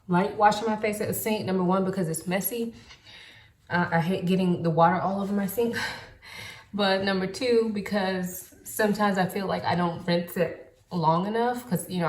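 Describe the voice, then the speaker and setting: A woman in her late thirties chatting casually to the camera as a beauty vlogger, explaining in a relaxed way with frequent ums and likes. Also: fairly low pitch. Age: 30s. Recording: echoey room.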